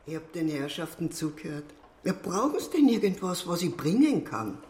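An actress playing a curt rural housekeeper with an Austrian accent in a radio drama. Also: female voice, lowish voice, sing-song, moderate pace, darkish tone. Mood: curt, dutiful.

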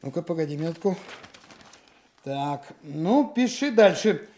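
An actor playing a brisk senior criminal investigator in a Soviet radio drama, instructing his men and dictating deliberately. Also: on the high side, expressive, warm tone, a little hiss or hum. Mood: official, deliberate. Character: brisk male senior criminal investigator, commanding but patient.

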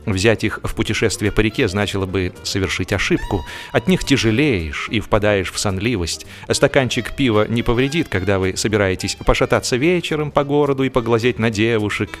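A man narrates comic fiction in a somewhat low voice. His delivery is theatrical and wry, shifting emphasis as the story goes. Music is heard along with the reading. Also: a little fast, few pauses. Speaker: audiobook narrator. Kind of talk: expressive scripted narration of humorous fiction.

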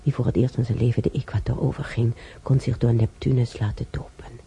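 A woman's voice: extremely low pitch, fairly even intonation, brisk, husky, full character voice. An actress playing a chatty, wistful old woman who muses aloud and rambles in a radio drama. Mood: nostalgic. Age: older. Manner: rambling, musing aloud, repeating herself. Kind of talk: radio drama monologue and dialogue. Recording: dark, slightly noisy radio drama recording.

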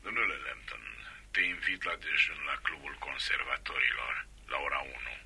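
A man's voice: extremely low pitch, gravelly, pushed and bright, breathy edge, full character voice. An actor playing a curt, commanding older businessman through a telephone filter in a Romanian radio play. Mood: brisk, stern. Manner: clipped, commanding. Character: curt, authoritative older businessman, stern and busy. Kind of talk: Romanian radio theatre acting.

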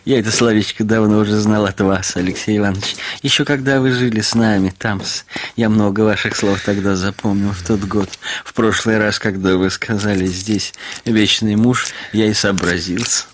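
An actor playing an obsequious, needling, self-abasing husband in a Russian radio drama, halting and wheedling with hissed deferential endings. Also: male voice, fairly low pitch, fairly even intonation, close to an everyday voice. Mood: servile, confiding.

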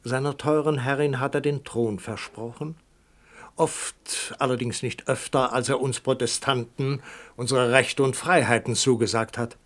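A man's voice: mid-range voice, steady tone, measured pace, lightly acted. A man playing a scheming insistent duke. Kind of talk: 1970s radio drama acting.

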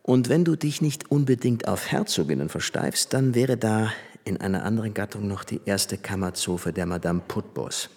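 An actor playing a flippant, worldly young French aristocrat and army officer in a radio play, chatting with easy familiarity. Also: male voice, fairly low pitch, animated, normal speed, few pauses, a little mellow, husky. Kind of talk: German radio play dialogue. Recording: long hall reverb.

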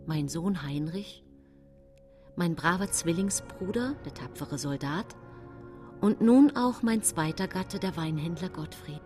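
An actress playing a calculating, self-pitying poisoner widow who narrates her own tale in a radio drama, with a husky voice. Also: on the low side, darkish tone, natural voice. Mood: mournful.

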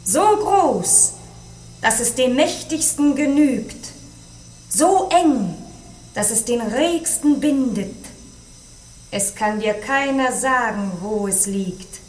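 Imperious princess, high voice, roomy echo, declamatory, defiant, radio drama. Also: female voice, expressive, slow pace, a little mellow. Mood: scornful, solemn. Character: proud, cold, defiant young princess of China. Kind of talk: classic German radio play acting.

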